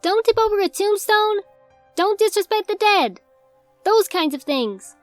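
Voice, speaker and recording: A woman doing a high, sweet, eerie little ghost filly. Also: expressive, unhurried, no echo, faint music behind.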